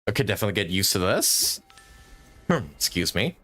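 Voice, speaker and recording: A man's voice: fairly low pitch, big pitch swings, a little fast, sharp tone, soft background music. A man doing casual live commentary as a game streamer, thinking aloud as he plays and swearing casually.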